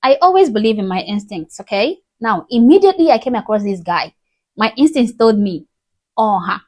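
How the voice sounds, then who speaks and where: A woman's voice: fairly high pitch, big pitch swings, normal speed, a little mellow. A woman, an Igbo speaker, giving blunt, mocking reaction commentary. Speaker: commentary host.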